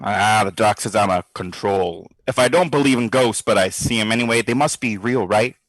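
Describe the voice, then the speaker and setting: An actor playing a brash, sardonic skeptic who talks in slangy, theatrical quips in an audio drama diary. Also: male voice, on the low side, moderate pace, a bit bright, no echo, low-quality recording. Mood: cocky, prodding. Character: brash wisecracking skeptical man, boozy ghost-study participant.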